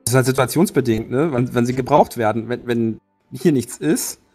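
A man talks through battle plans at a brisk clip, matter-of-fact and to the point. He is a player in a tabletop game, and soft music sits underneath. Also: mid-range voice. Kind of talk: strategy discussion in a tabletop game.

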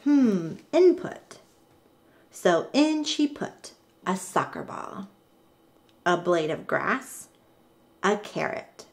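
Lively woman reading a picture book slowly. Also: fairly low pitch, vocal fry, slight background noise. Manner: animated, deliberate, dramatic emphasis and pauses. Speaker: storybook reader. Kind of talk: expressive children's picture book read-aloud.

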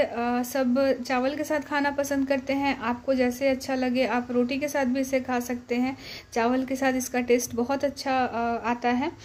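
A woman hosting a cooking channel, calmly walking through a recipe step by step in a voice-over, often pausing with "uh". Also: sits high. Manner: patient, explanatory, frequent "uh" pauses.

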